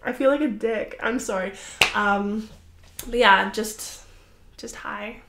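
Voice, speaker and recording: A woman's voice: medium pitch, fairly even intonation, takes it slowly, noisy background. A young woman hosting a crafting podcast, chatting casually to the camera in a rambling, apologetic way with lots of fillers. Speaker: podcast host. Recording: roomy echoey room.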